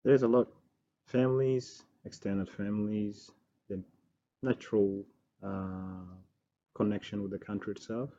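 Low-voiced man from Sudan, mellow, dull audio. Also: steady tone, unhurried, slight background noise. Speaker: learning support officer. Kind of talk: school interview answers. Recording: dull low-fidelity school recording.

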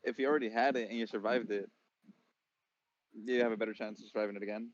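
A man's voice: fairly low pitch, steady tone, fast talker, no echo. Chill twenty-something guy chatting as a podcast guest, kinda muffled dry audio. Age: 20s. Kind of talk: casual chat.

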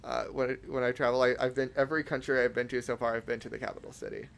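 A young man hosting a casual podcast interview, talking in a halting way with lots of fillers and restarts. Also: mid-range voice, level tone, creaky. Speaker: podcast host.

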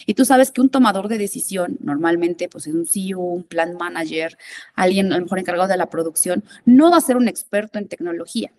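A woman's voice: fairly low pitch, fairly quick, a little mellow, no room sound. A woman, a guest in a podcast interview, speaking thoughtfully with many fillers and restarts and dropping English terms into her talk. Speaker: interview guest, innovation consultant.

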